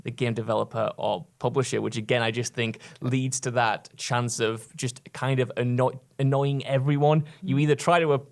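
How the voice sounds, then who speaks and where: A man's voice: mid-range voice, fairly even intonation, moderate pace, gravelly, hardly any pauses. A man co-hosting a podcast, explaining his points at length in a loose, conversational way. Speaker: podcast co-host. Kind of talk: casual panel discussion.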